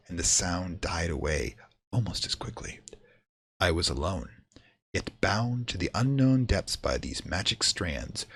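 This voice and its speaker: A man narrating a horror radio play in a grave, theatrical, deliberate manner. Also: sits low, breathy edge. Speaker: narrator and performer.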